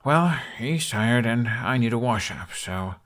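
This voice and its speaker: A man's voice: mid-range voice, expressive, unhurried, fairly bright tone. A man reads a young male dragon rider in a storybook tale. He is courteous and guarded, choosing mild, deferential words to keep the peace. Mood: grateful, courteous.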